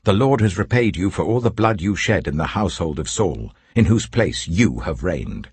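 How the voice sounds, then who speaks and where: Man as a bitter, husky, cursing accuser. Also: deep voice, very animated, normal speed, dry recording, full character voice. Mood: bitter, accusing. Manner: accusatory, emphatic.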